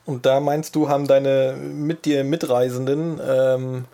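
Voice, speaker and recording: A man's voice: medium pitch, little pitch movement, vocal fry, dark tone. Easygoing male podcast co-host. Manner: easygoing, quick asides, frequent short backchannels. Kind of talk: casual podcast chat.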